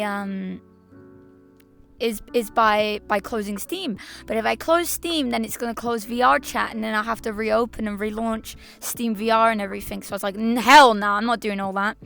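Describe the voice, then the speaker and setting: A woman streamer chatting casually to her viewers, her voice hoarse and raspy, rambling with stop-start restarts. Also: on the high side, moderate pace, a bit bright, soft background music. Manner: rambling, stop-start, repeats herself, laughs often. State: hoarse, slightly lost voice. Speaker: VTuber streamer.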